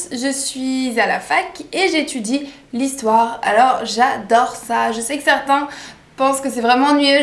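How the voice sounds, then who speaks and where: Chatty young woman in echoey room. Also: sits high. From France. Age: 20s. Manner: chatty, hesitant fillers, enthusiastic. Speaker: vlogger. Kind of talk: casual introduction vlog.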